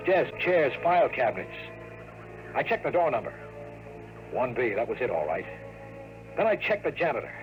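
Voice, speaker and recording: A man's voice: medium pitch, lo-fi sound, close to an everyday voice. A man acting a cocky, sardonic American investigator in an old radio drama, pushy and wisecracking, with clipped slangy talk. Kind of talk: 1950s radio drama acting with first-person narration. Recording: vintage radio recording with faint music.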